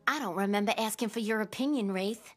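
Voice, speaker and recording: A woman's voice: medium pitch, fairly quick, natural voice. A woman voicing a haughty, sassy teenage monster girl in a cartoon, snippy and dismissive, with music behind her. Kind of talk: animated cartoon acting.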